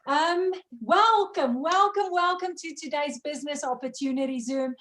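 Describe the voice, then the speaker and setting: High-voiced woman hosting. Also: moderate pace, fairly bright tone. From South Africa. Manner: enthusiastic, friendly introductions. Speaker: host. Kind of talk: hosting a business video call. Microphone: video call.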